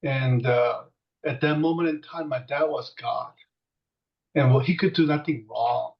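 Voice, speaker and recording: A man's voice: mid-range voice, unhurried, creaky. Older Korean-born man, storytelling interviewee, rambling with fillers; mic set far off. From South Korea. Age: 60s. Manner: rambling, many fillers and restarts. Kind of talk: reminiscing storytelling. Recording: distant muffled mic.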